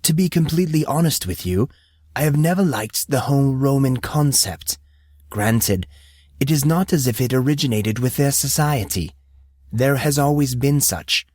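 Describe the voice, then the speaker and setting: A man voicing a polite, thoughtful mentor in an audiobook, speaking in a measured, formal, questioning way. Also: medium pitch, expressive, a little mellow, dry recording, clean studio sound, pitched above the performer's own voice, lightly acted. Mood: thoughtful, probing. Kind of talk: audiobook character dialogue.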